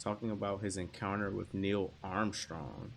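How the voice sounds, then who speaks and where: A man's voice: low-pitched, moderate pace, creaky, a little hiss or hum. A man hosting a reaction video, commenting casually in slangy asides and reading captions aloud. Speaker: host reacting to an online horror series. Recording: music playing behind the voice.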